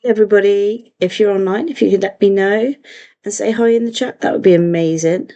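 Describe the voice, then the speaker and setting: A woman's voice: medium pitch, fairly even intonation, fairly quick, dark tone, highs cut off. A woman hosting a live online history lesson, chatty with many fillers and self-corrections, her voice a little throaty today. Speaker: online history tour host.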